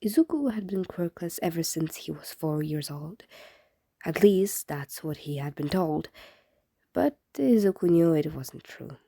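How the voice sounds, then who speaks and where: A woman's voice: lowish voice, lively intonation, unhurried, vocal fry, mellow, breathy edge, dry recording, studio quality. A woman reading fan fiction aloud as scripted narration, in the style of an audiobook. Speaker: fan fiction podfic reader.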